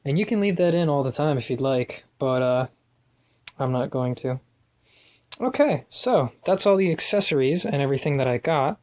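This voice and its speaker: A man giving a casual, hands-on do-it-yourself tutorial in a halting, thinking-aloud way. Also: medium pitch, expressive, a little mellow, no echo. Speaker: DIY car project vlogger. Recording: noisy recording with clicks.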